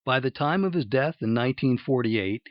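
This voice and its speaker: A man's voice: mid-range voice, animated, brisk, no echo, muffled recording. A man presenting vintage records in a scripted narration.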